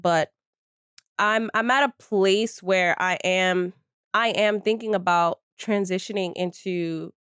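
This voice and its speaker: A woman's voice: medium pitch, smooth, dry recording, studio quality. A woman of thirty talking candidly and casually to her viewers from her bedroom, chatty and swearing freely into a desk microphone. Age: 30s. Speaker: channel host. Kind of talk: casual vlog talk to viewers.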